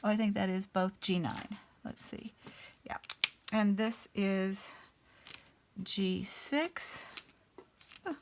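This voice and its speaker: A woman hosting a casual coloring session, a little raspy as she gets over an illness, thinking aloud in an unhurried way with many ums. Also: mid-range voice, a little mellow, a little hiss or hum. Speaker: artist and channel host. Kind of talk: casual color-along chat.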